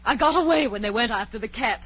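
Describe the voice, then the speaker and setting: An actress playing an affectionate, gushing, unbothered duchess in 1940s radio drama style. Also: female voice, on the high side, lively intonation, quick pace, fairly bright tone, husky. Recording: muffled 1940s radio recording.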